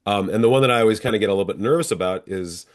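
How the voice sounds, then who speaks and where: A man's voice: fairly low pitch, rapid-fire. A middle-aged American man, an actor being interviewed, telling stories casually and acting out bits of dialogue as he goes.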